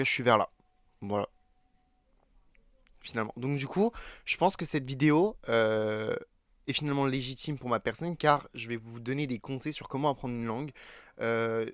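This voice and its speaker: Teen male vlogger from France, chatty and self-correcting, dry close sound, mid pitch. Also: a bit bright, no room sound. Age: teens. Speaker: YouTuber. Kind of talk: casual talk to camera.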